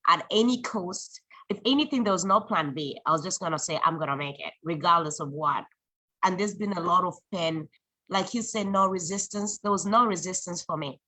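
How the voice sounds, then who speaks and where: A woman's voice: mid-range voice, sharp tone, poor audio. A woman from Zambia, a guest in an interview, telling her story in an earnest, reflective way with frequent restarts. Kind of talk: conversational interview.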